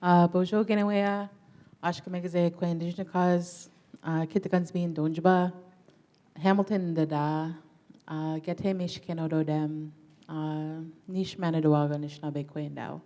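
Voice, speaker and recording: A woman from Ontario speaks on a panel in a notably low voice. She talks earnestly and personally, with the odd filler. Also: little pitch movement, normal speed, mellow. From Ontario, Canada. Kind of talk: panel introduction.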